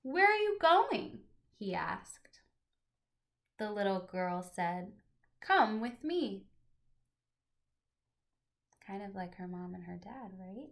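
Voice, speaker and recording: Lady teacher doing storytime, slow and expressive, bit of creak in her voice, talks to the kids. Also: mid-range voice. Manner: deliberate, clearly phrased storytelling for young children. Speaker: teacher reading a picture book aloud. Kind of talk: expressive children's read-aloud with chatty asides to listeners.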